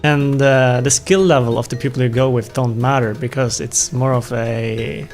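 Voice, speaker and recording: A man's voice: mid-range voice, fairly even intonation, moderate pace, a little mellow. A young man with a non-native English accent, chatting casually as he streams a game, rambling and self-deprecating. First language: not English. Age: young adult. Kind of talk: casual chat while gaming. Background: music.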